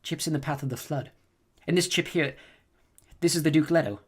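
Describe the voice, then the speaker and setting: A man's voice: a little high, brisk, slightly rough, voice pushed up. Brief: male reader as a tense, bitter noble mother, voice raised and held in. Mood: strained. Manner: bitter, strained, restrained. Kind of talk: audiobook character reading.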